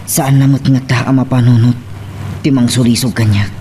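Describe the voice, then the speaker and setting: Brooding Ilocano man, acted, mid-pitched voice. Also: steady tone, moderate pace, mellow, close to an everyday voice. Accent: Ilocano Filipino. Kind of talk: Ilocano radio-style drama acting. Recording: faint background noise.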